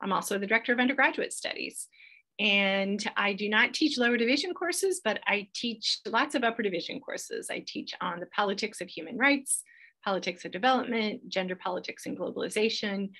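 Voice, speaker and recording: A middle-aged woman with an American accent hosts an online welcome session as a professor. Her voice is bright and forward with a slight gravel to it, at an average pitch. She presents informally, speaking directly to the people attending. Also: a little fast. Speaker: professor hosting a welcome webinar. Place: online webinar.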